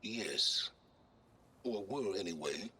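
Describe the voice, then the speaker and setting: An actor playing a haughty, secretive middle-aged researcher in a video game, speaking in a measured, condescending, deliberate way. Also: male voice, on the low side, expressive, pushed and bright, slight background noise, heavily acted. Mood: measured, dismissive. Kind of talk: video game dramatic acting.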